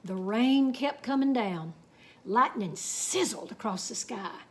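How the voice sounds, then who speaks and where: Very animated, high-voiced woman storyteller. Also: slow. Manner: animated, folksy, dramatic. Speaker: children's story reader. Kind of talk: expressive storybook read-aloud with folksy asides.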